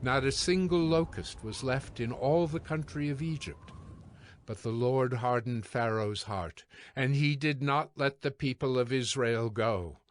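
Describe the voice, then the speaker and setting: A man's voice: medium pitch, measured pace, slight background noise. A man narrating a scripted scripture reading.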